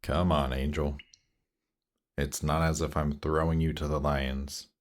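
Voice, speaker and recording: A man playing a suave, patient, seductive demon prince in a fantasy roleplay audio drama, speaking in a teasing, coaxing, measured way. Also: very deep voice, flat delivery. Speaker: voice actor. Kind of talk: slow, intimate roleplay audio drama performance.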